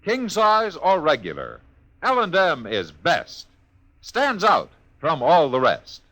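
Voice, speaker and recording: A man's voice: a little high, wildly swooping pitch, takes it slowly, bright, forceful tone, soft background music. An American man announcing a 1950s radio commercial with an emphatic, slogan-style delivery. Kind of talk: 1950s radio advertising pitch.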